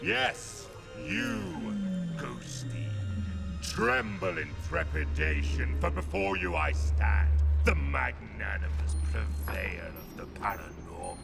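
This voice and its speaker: A very creaky, bombastic male ghost, slow and hugely swooping in pitch. He is a pompous showman scholar of the occult from a video game. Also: big pitch swings, exaggerated voice. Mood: grand, bombastic. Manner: bombastic, flowery, theatrical. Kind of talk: video game character acting. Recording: loud music behind the voice.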